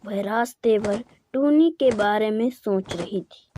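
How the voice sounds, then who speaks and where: A woman's voice: mid-range voice, slow pace, dark tone, a little hiss or hum. A woman narrating a scripted children's moral story.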